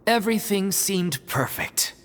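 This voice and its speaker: A woman's voice: medium pitch, expressive, measured pace, slight background noise, close to an everyday voice. A woman voicing a polite, earnest member of a theatre troupe in a video game, courteous and explanatory in manner. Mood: earnest, storytelling.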